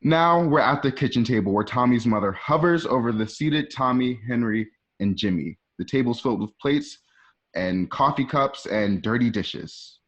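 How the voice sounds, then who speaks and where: A man reads screenplay directions as narrator of a radio play. His voice is medium in pitch. The sound is a bit dull and lo-fi. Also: lively intonation, moderate pace. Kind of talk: reading screenplay stage directions aloud. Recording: dull lo-fi home recording.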